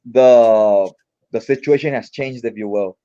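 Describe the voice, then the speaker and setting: A man's voice: medium pitch, unhurried, warm tone, highs cut off. A man giving casual read-along comic commentary in an informal, slangy, mildly profane way. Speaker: comic book reviewer.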